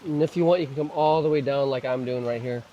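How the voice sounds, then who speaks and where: A man leading a guided mobility flow, calmly cueing each move and breath, his voice slightly hoarse as he recovers from a cough. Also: medium pitch, fast talker, highs cut off. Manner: calm, gentle cueing of moves and breaths. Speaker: mobility and stretching instructor.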